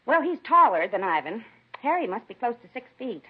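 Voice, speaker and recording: A woman's voice: fairly high pitch, sing-song, fairly quick, breathy edge. An American woman acting a nosy, gossipy, indignant middle-aged neighbor who dotes on her cats, in a 1950s radio drama. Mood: chatty. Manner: chatty, indignant, rambling. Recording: dull 1950s radio broadcast recording.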